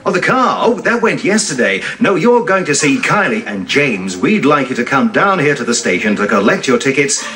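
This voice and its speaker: A man's voice: mid-range voice, sing-song, moderate pace, hardly any pauses, sharp tone, distant mic. A man playing a radio phone-in quiz host, with showy, upbeat patter. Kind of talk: sitcom acting.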